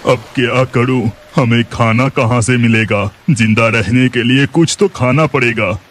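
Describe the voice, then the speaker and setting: A man's voice: mid-range voice, measured pace, fairly bright tone, a little hiss or hum, lightly acted. A man playing a resourceful, caring village husband in a children's cartoon story. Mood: worried.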